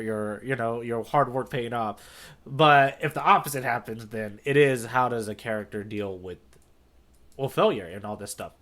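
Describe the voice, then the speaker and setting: A man's voice: medium pitch, fairly bright tone. A man co-hosting a podcast, chatting casually and enthusiastically as he explains his thoughts and checks in with "right?". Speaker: podcast co-host. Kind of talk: casual chat discussing a manga.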